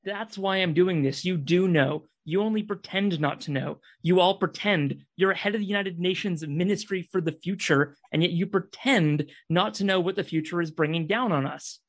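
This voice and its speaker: Casting: male actor for desperate, traumatised kidnapper in a radio play, slightly high male voice, clipped, accusatory, insistent. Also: moderate pace, few pauses. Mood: accusing, insistent. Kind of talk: read radio drama dialogue.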